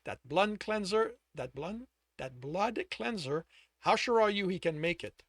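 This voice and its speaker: A man voicing a blunt, exasperated female scientist who talks briskly. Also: sits high, animated, a bit bright, dry recording, clean studio sound, voice pushed up, exaggerated voice. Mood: brusque. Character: sharp, brusque woman scientist, blunt.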